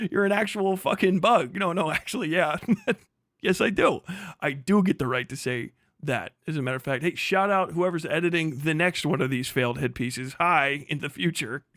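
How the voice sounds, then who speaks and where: A man's voice: on the high side, moderate pace, fairly bright tone, dry recording, clean studio sound. A man livestreaming combative, off-the-cuff reaction commentary, indignant and mocking, swearing heavily and repeating phrases for emphasis.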